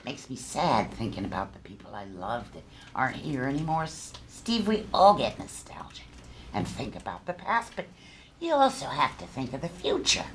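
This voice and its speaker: A woman playing a blunt, eccentric old widow who works in a restaurant, nostalgic and unhurried, at a live comedy table read. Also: very deep voice, sing-song. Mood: wistful, grumbling. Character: blunt, crazy old widowed female restaurant worker Gertrude. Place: theater stage, live show. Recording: live stage recording, faint background noise.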